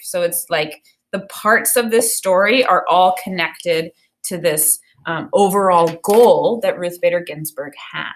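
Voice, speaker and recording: A woman teacher reading a picture book aloud and pausing to explain it, often checking for understanding. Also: mid-range voice, fairly bright tone.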